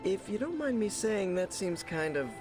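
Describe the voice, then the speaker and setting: A man's voice: sits high, sing-song, normal speed, over music. An actor playing a caring young male hero in a video game cutscene, talking earnestly about the people he loves. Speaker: game character.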